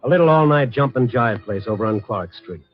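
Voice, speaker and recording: A man's voice: medium pitch, expressive, brisk, natural voice. Hard-boiled American night columnist played by a man, wry and dramatic, muffled vintage radio sound with faint music. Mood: brooding. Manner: wry, streetwise, dramatic storytelling. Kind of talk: 1950s radio drama narration.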